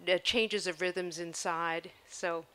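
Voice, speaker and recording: An older woman choreographer speaks into a handheld microphone at an outdoor talk. Her voice is fairly low for a woman, with a very bright edge. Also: steady tone, slow pace, clean tone. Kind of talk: answering questions at a public talk. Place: outdoor stage before an audience.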